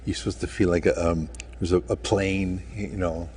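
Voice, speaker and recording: A man guesting on a talk show, talking in a laid-back, halting, meandering way. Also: low voice, fairly even intonation, noisy background. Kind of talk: casual chat.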